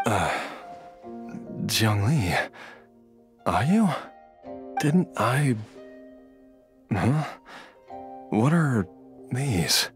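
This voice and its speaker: A man playing a weary, gentle, bewildered father in a video game, speaking hesitantly. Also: mid-range voice, big pitch swings, faint music behind. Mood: dazed. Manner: slow, hesitant.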